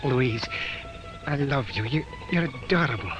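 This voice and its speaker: An actor playing a devoted young husband in an old-time radio drama, tender, gallant and eager to please. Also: male voice, medium pitch, lively intonation, moderate pace, music in the background, close to an everyday voice. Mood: earnest, lovestruck.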